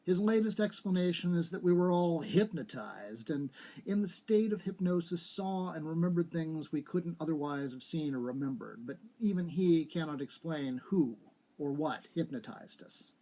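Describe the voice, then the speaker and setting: A man's voice: a little high, expressive, moderate pace, warm tone, poor audio. A man giving a live reading of a short story, narrating as he goes. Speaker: story reader.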